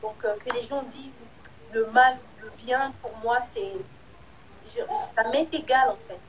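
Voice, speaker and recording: High-voiced woman singer. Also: fairly even intonation, a little slow, low-quality recording. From Republic of the Congo. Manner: candid, hesitant, trailing off. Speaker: singer, interview guest. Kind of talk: casual interview.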